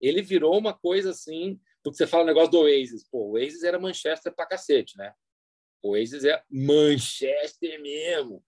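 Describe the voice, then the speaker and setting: A man's voice: on the high side, animated, normal speed, darkish tone, dry recording. A Brazilian man speaking as a guest interpreter in a casual, anecdotal chat, using slangy, colloquial speech.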